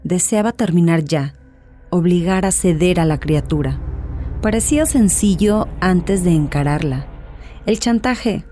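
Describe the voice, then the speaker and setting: A woman narrates a literary horror story. Her voice is fairly low, with a dark, mellow color. Faint music sits underneath the reading. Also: measured pace.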